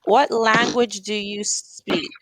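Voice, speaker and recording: A woman tutoring English over a video call, speaking slowly and patiently and asking simple questions. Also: fairly high pitch, little pitch movement, fairly bright tone. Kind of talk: casual tutoring conversation.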